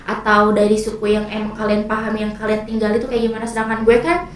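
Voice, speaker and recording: A woman's voice: mid-range voice, steady tone, fast talker, slight background noise. A young woman from Indonesia hosting a casual group chat, asking questions with plenty of fillers. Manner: chatty, asks questions, many fillers. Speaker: podcast host. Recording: echoey room, mic far off.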